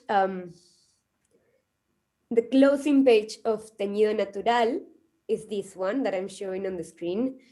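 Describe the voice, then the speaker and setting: A woman's voice: medium pitch, dark tone. A woman from the coast, giving a thoughtful academic presentation and hedging often. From Peru, coast. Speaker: conference speaker.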